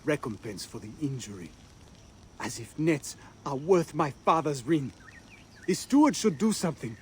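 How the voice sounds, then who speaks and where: A man's voice: on the high side, sing-song, normal speed, a little mellow, a little hiss or hum. An actor playing an aggrieved male townsman seeking justice in a video game, earnest and grumbling.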